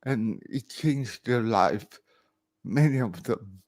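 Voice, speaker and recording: Man in his fifties, very slow laboured delivery, halting short phrases, personal vlog talk, dry close sound. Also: lowish voice, animated, darkish tone, dry recording. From Sweden. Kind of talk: live personal talk to camera.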